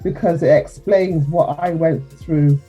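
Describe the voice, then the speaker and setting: Husky earnest woman from England. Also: sits low, fairly even intonation, a little slow, faint music behind. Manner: warm, earnest, reflective storytelling. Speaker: author and guest. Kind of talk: radio interview.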